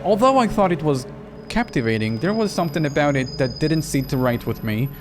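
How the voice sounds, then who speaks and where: A wary young woman in a horror game, read by a man in a fairly high voice. She tells her story plainly and frankly, with a slightly sardonic edge. Music sits clearly behind the words. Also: animated, a little fast, lightly acted. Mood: uneasy.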